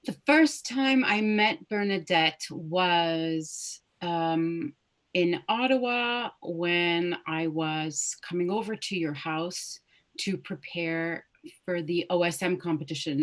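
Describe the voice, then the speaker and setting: A woman's voice: fairly low pitch, measured pace. A woman in her thirties, born in Lebanon with Arabic as her first language, talking reflectively in a casual video-call interview, often restarting her sentences. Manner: warm, reflective, many restarts and fillers. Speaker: guest soprano.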